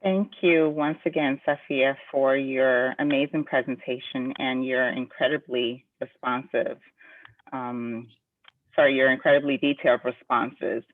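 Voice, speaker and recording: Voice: mid-range voice, fairly even intonation, a little slow, no echo. Polite webinar moderator on tinny audio. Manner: polite, scripted. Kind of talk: reading audience questions and thanking the guest. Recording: tinny dry phone-like call audio.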